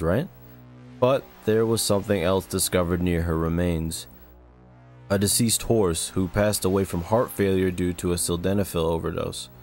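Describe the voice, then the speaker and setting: Male narrator for scripted analysis videos, low voice, measured delivery with dry asides, music underneath. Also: darkish tone. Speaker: video essay narrator and host.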